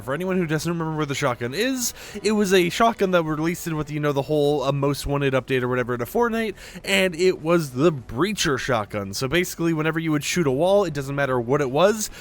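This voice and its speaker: A young man with a sore throat, talking a bit quieter as he rambles casually through a gaming update on his channel. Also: a little high. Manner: talkative, rambling with many fillers. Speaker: gaming news YouTuber. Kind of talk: casual game update commentary.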